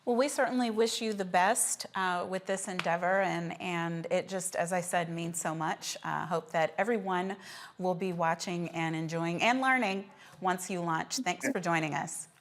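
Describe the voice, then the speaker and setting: A woman's voice: fairly low pitch, moderate pace, a little hiss or hum. A woman with an American accent presenting a news talk show as host.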